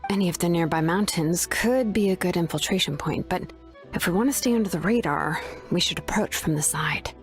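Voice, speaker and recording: A woman's voice: lowish voice, brisk, natural voice. Woman as easygoing young female adventurer, assured, casual, loyal, video game acting, faint music. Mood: confident, casual.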